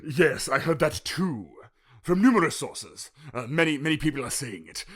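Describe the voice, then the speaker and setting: A man voicing a smug, theatrical, boil-covered prisoner in a comedic radio play, talking like a pompous old-fashioned gentleman. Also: on the high side, expressive, normal speed, slightly rough. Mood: pleased.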